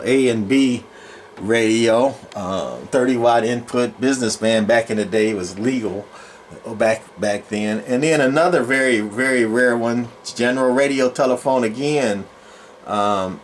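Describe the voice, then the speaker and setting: A man's voice: medium pitch, slight background noise. A man giving a casual, unscripted show-and-tell as a hobby collector, rambling and hesitant with plenty of enthusiast jargon. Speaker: collector presenting his radio collection.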